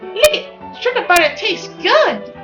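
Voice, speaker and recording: A woman's voice: extremely high pitch, very animated, measured pace, highs cut off, over music, pitched above the performer's own voice, exaggerated voice. A woman voicing a bold, curious seven-year-old girl with a blunt, cheeky, childlike manner in a visual novel. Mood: gleeful.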